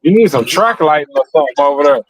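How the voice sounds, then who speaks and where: A man's voice: on the high side, expressive, fast, crowd noise, poor audio. A man on a live stream panel, talking in blunt, slangy casual chat with some swearing.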